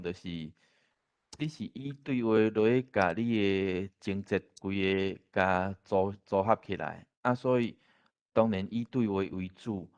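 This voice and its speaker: A man with a Taiwanese accent giving informal advice in an online discussion, halting and repeating phrases. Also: lowish voice, a little mellow. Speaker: experienced panelist. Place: online meeting. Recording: dull online meeting audio.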